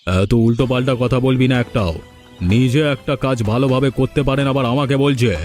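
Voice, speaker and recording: A man's voice: mid-range voice, steady tone, brisk, darkish tone, soft background music, natural voice. Man as a bullying, scolding old village headman. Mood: angry, domineering. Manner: scolding, commanding. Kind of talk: cartoon folk-tale voice acting.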